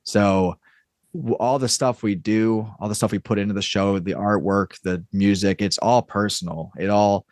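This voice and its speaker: A man with a fairly low voice speaks casually as a podcast guest. He thinks aloud in a rambling, halting way, circling back on his phrases. The sound is dry, with very little room. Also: fairly even intonation, darkish tone, no room sound. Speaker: podcast guest, audio drama creator. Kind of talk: casual interview chat.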